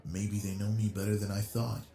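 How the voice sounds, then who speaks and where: A man voicing an obsessive yandere man on a blind date, talking with quiet, smooth charm in a scripted audio roleplay, close on the mic. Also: lowish voice, flat delivery, moderate pace. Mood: flirty. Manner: quiet brooding inner monologue, then smooth flirty charm.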